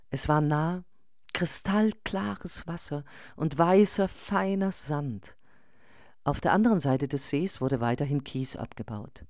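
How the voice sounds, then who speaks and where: Woman playing reflective nonconformist mother, very low pitch, mellow warm tone, measured delivery, radio drama collage. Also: no echo, studio quality. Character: thoughtful, nonconformist mother answering online posts. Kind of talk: scripted radio collage reading of online posts.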